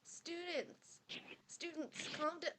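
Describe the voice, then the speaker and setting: A woman's voice: very high-pitched, fairly even intonation, slow pace, sharp tone, heavily acted, poor audio. A woman playing a stern but kindly older witch professor, formally addressing pupils in an amateur audio drama. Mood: brisk, orderly.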